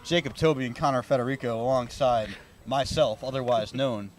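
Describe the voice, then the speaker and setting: A man's voice: medium pitch, steady tone, brisk, over music. A man hosting a sports radio broadcast, doing pregame talk and play-by-play commentary.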